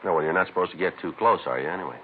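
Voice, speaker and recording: A man's voice: low-pitched, rapid-fire, natural voice. An American man playing a terse, businesslike police detective sergeant in a 1950s radio drama, clipped, understated and matter-of-fact. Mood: casual, businesslike. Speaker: lead actor and narrator. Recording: tinny, noisy 1950s radio recording.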